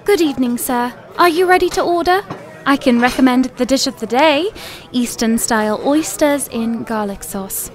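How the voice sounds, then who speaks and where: An actress playing a courteous, formal waitress at an upscale restaurant in an audio drama. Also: female voice, high voice, moderate pace, natural voice. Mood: polite. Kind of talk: science fiction audio drama acting.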